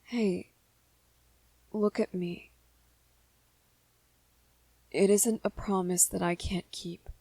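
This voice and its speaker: A woman voicing a gentle, lovestruck young vampire man in a romantic ASMR roleplay, earnest and hesitant, with small stammers. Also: medium pitch, steady tone, very slow, pauses often, breathy edge, no echo, full character voice, rough recording. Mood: earnest, reassuring.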